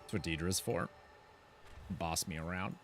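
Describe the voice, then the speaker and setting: A man's voice: low-pitched, steady tone, a little slow, a little hiss or hum. A man giving casual commentary while playing a fantasy game and reading quest text aloud. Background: game music.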